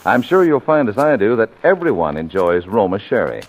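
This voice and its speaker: A man's voice: lowish voice, very animated, brisk. An American man announcing in scripted 1940s radio style, a sponsor's spokesman with polished, emphatic salesmanship. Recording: old radio recording, faint music behind.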